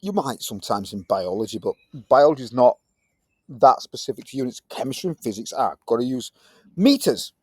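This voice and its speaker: A man in his fifties with a Yorkshire accent, teaching a science lesson in a chatty, lively manner with British slang. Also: medium pitch, no echo, clean studio sound. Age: 50s. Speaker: tutor. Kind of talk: recorded tutoring lesson.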